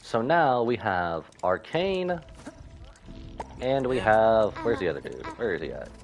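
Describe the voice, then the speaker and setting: Low-voiced, animated man streaming a game. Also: a little slow. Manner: rambling, thinking aloud. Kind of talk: casual live-stream game commentary.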